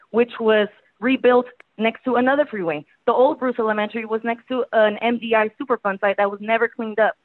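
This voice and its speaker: Impassioned woman on a tinny phone line. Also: medium pitch. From Houston, Texas. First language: Spanish. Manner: urgent, pressing rhetorical questions. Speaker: public commenter. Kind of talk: impassioned public comment. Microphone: phone call.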